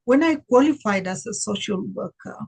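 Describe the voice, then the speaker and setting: An older woman from Uganda, a life coach, telling her life story in an interview in an unhurried, reflective way with many fillers. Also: medium pitch, lively intonation, creaky, a little mellow, husky.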